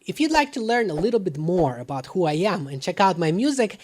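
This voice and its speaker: A man presenting a scripted product review to camera in an emphatic, deliberate way. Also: sits high, big pitch swings. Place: living room at home. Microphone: dedicated microphone.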